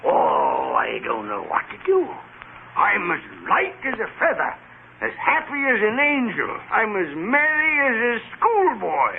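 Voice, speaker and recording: Giddy old gentleman, wildly swooping voice. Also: high-pitched, huge pitch swings, a little slow, vocal fry, a little hiss or hum, full character voice. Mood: giddy delight. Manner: theatrical, exclamatory, chuckling. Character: elderly miser reborn, giddy, joyful, generous old gentleman. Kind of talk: old-time radio drama acting. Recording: tinny vintage radio broadcast, faint music.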